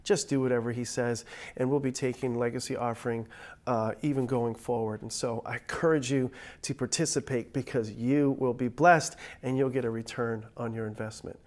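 A man's voice: medium pitch, steady tone, moderate pace, darkish tone. A middle-aged man, a pastor giving a pre-recorded online church message, sounding a little nasal from a cold, upbeat and joking in a conversational way.

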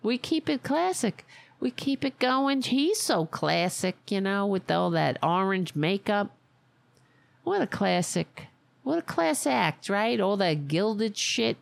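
A brash older widow from Staten Island, as a woman puts her on, with a thick Staten Island accent. She drawls slowly in a gravelly voice that swoops up and down. The sound is close and dry. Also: medium pitch, animated, no echo. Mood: mocking. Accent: Staten Island, New York. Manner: sarcastic, exaggerated, mocking persona. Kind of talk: satirical character monologue.

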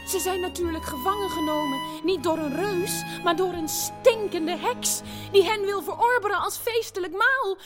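Lady storyteller w really high voice, slow dramatic fairytale telling, music playing behind her. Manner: dramatic, deliberate phrase-by-phrase delivery. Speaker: storyteller in a family radio play. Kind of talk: theatrical fairytale narration.

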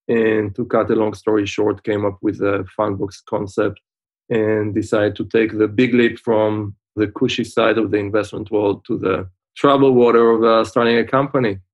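A man in his forties with an Israeli accent, a podcast guest explaining things in a measured way with frequent pauses over a video call. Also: on the low side, dull audio. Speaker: guest, fintech founder.

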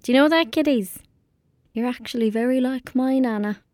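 A woman's voice: high voice, normal speed, darkish tone, dry recording, natural voice. Woman acting as lonely irish country girl in a radio play, blunt and dreamy, very dry close sound. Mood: tender, wistful. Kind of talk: audio drama acting.

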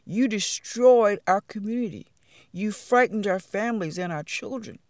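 A storyteller voicing calm, dignified spider elders in a hushed, low voice. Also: normal speed, no echo, clean studio sound, natural voice. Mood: solemn.

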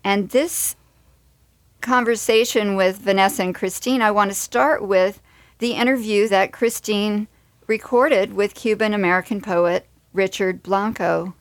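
A woman's voice: mid-range voice, steady tone, unhurried, dry recording. A woman hosting a radio show from a studio, introducing segments and interviewing guests.

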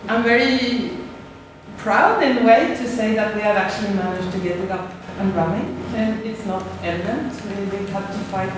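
A woman's voice: mid-range voice, normal speed. Norwegian-accented woman in an echoey hall. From Norway. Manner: hesitant, many fillers and restarts, light laughs. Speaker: university lecturer presenting. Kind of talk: conference presentation. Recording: echoey room, distant mic, background noise.

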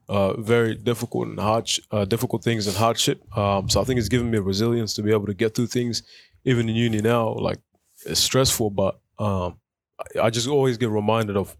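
A man's voice: lowish voice, dry recording, clean studio sound. A man in his twenties, from South Sudan, being interviewed on community radio about his life, speaking thoughtfully with many fillers and restarts. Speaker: guest. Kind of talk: radio interview, personal story. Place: radio studio.

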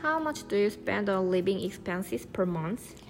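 Woman asking steady interview questions. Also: mid-range voice, measured pace, soft background music.

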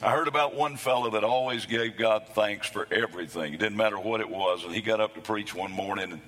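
A man preaching a sermon, his voice hoarse from losing it between services, with a folksy, self-deprecating humor. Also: lowish voice, brisk, fairly bright tone, in a large hall. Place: church sanctuary.

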